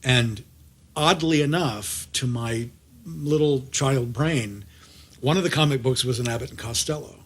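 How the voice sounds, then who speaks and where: A man's voice: medium pitch, unhurried, slightly rough. A middle-aged American man hosting a conversational interview, chatty and rambling as he circles back mid-sentence. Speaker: podcast host and audio drama producer.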